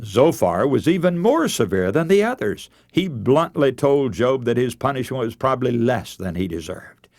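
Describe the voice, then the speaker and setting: A man's voice: mid-range voice, sing-song, normal speed, a little mellow, no echo, clean studio sound. A man preaching a scripted radio sermon, telling a story in a solemn, formal and rhetorical manner.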